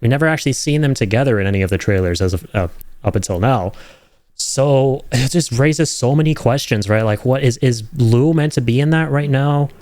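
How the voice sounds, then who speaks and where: A man giving casual reaction commentary, rambling and thinking aloud with some excitement. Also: mid-range voice, fairly quick, no room sound. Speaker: video creator reacting to game trailers.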